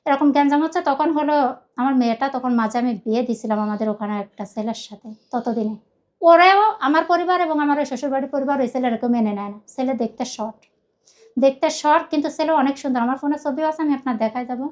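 A woman's voice: sits high, fast talker. A middle-aged woman with a Bangladeshi accent giving an emotional personal account, earnest and rambling, often repeating herself. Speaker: guest telling their life story.

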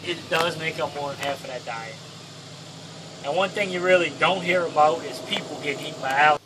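A man's voice: fairly high pitch, a little fast, noisy background. A man with a Louisiana accent giving airboat tour commentary outdoors, explaining and warning his passengers. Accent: Southern US (Louisiana). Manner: cautionary, explaining. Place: open airboat on a bayou, outdoors.

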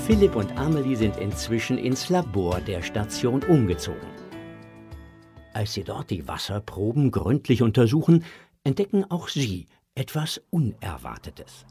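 A man's voice: lowish voice, very animated, a little slow, dark tone, soft background music. A man narrating a children's audio drama in a dramatic storytelling manner.

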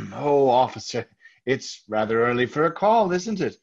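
A man playing a nervous, overpolite murderer hiding his guilt, halting and husky, in old-time radio theater style. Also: mid-range voice, big pitch swings, heavily acted. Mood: feigned calm. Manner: halting, over-courteous, stammering. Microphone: video call. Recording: dull, lo-fi video call audio.

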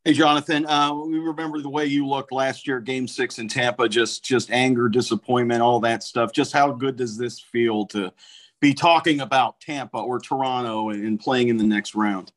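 A man puts his question to the player at a middle pitch, in a friendly and conversational way. He sounds relaxed and familiar with the person he is asking. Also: normal speed. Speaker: reporter. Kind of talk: press conference question.

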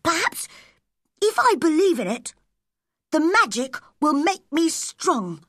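An earnest young storybook boy, played by a woman narrator, speaks in a very high, swooping voice. He is excitable and hopeful, declaring things with wide-eyed fervour. The sound is close and dry. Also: slow pace, no room sound, exaggerated voice. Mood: eager, earnest.

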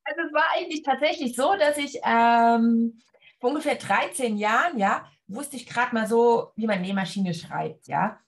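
A woman in her forties from Leipzig, a sewing teacher talking casually on a video call, relaxed with many fillers and hand gestures. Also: fairly high pitch, moderate pace. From Leipzig, Germany. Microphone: webcam on a video call.

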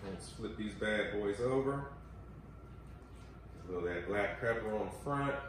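A man's voice: fairly low pitch, moderate pace. A man in his forties hosts a casual cooking show in a home kitchen, chatting and coaching viewers with health tips. His voice sits away from the microphone, in a room with heavy echo and a faint hiss of background noise. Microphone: far camera mic. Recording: echoey kitchen from far off.

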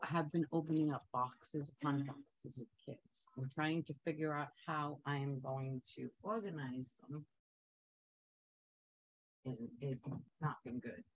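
A woman's voice: low-pitched, muffled recording, slight background noise. A woman teaching a quilting technique in a chatty, upbeat way, wandering into asides while she sews. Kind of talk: casual sewing tutorial.

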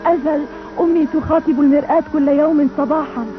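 An actress playing a gentle, principled young princess in a cartoon dub, earnest and sweet. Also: female voice, high voice, steady tone, fast talker, natural voice. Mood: upset. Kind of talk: dubbed cartoon acting. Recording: tinny old cartoon dub, faint noise.

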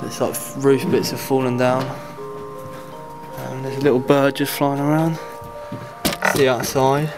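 A young man, vlogging casually as he explores an abandoned building, excitable and swearing freely. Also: mid-range voice, unhurried, long pauses. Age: young adult. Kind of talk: casual vlog narration while exploring. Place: abandoned building, indoors and out at night. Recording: music playing loudly behind.

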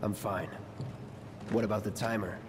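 An actor playing a cool, aloof young mercenary in a video game, terse, detached and understated. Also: male voice, on the low side, steady tone, moderate pace, noisy background, natural voice. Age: young adult. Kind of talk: dramatic video game acting.

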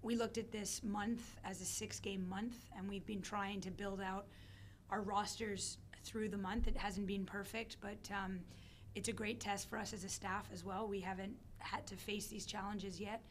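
A middle-aged woman speaks with a hoarse, scratchy voice, her throat worn out. She answers press questions in a measured, thoughtful way from behind a table mic. Her pitch sits in a middle range for a woman. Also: little pitch movement. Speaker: head coach. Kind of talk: postgame press conference answers. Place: press conference room, seated at a table. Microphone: desk microphone on a stand.

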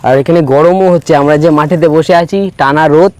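A man speaks in a high voice at a quick pace. He hosts a prank video, commenting to viewers casually and bluntly in plenty of slang. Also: darkish tone. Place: open field outdoors.

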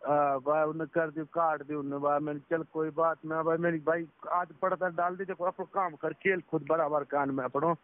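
High-voiced man on a phone line. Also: flat delivery, moderate pace, few pauses. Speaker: phone caller, a driver recounting being scammed. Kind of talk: casual phone conversation, recounting an incident. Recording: tinny phone call recording.

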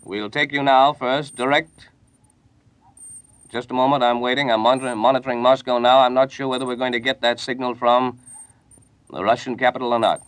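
Male American 1940s radio news anchor; formal, measured bulletin reading, mid-pitched, close dry studio sound. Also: level tone, fairly bright tone, smooth, no echo.

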